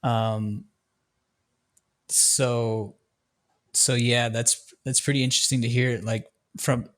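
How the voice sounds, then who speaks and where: A young adult man hosting a podcast, asking relaxed questions with frequent "uh" fillers. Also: medium pitch, takes it slowly. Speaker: podcast host. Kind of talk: casual interview conversation.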